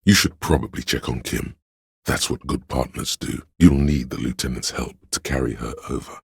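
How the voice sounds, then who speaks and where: A man voicing a brooding, omniscient narrator of weary police life in a detective game, with measured, grave, literary delivery and a gravelly, husky voice. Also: very deep voice, no room sound, clean studio sound, exaggerated voice. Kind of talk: dramatic literary scripted narration.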